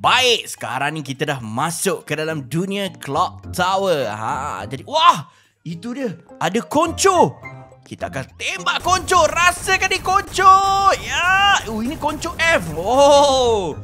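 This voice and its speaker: A man's voice: high voice, sing-song, slow, pushed and bright, soft background music. A young man with a Malaysian accent doing playful, exclamatory roleplay commentary as host of a gaming video, repeating words for emphasis. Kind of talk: excited roleplay game commentary.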